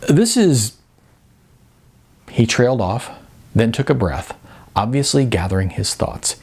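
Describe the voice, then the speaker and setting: गहरी-सी, खरखरी आवाज़ वाला पुरुष कथावाचक. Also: male voice, lowish voice, moderate pace, husky. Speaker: audiobook narrator. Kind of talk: dramatic fiction narration.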